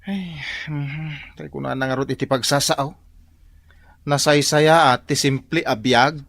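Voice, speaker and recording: A man's voice: fairly high pitch, steady tone, a little slow, gravelly, a bit bright, dry recording. An actor playing a mild, simple village man in an Ilocano radio drama, speaking hesitantly. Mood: resigned, earnest.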